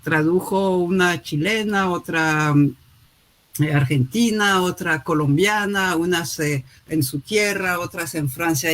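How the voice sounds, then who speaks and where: A woman author talking through her new book in a relaxed way, pausing often with fillers and restarts, heard over a video call. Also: low-pitched. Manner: hesitant, many fillers and restarts. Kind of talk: casual spoken book presentation.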